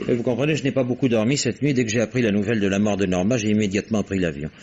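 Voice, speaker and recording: A man's voice: lowish voice, very fast, darkish tone, breathy edge. A sardonic, arrogant father. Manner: sardonic, abrupt, self-assured. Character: cynical, blunt wealthy father, arrogant. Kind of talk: 1960s French radio drama acting. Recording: dull old radio broadcast recording.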